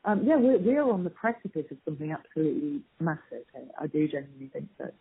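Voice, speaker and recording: A woman's voice: deep voice, big pitch swings, fast, gravelly, husky. A woman answering interview questions as a strategy executive, earnest and emphatic, on a poor-quality muffled recording. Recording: poor, muffled phone-like lo-fi sound.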